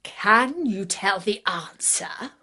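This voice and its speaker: A woman voicing a very old, kindly but sly grandmother in a fairytale, hushed and deliberate. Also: medium pitch, lively intonation, exaggerated voice. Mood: curious.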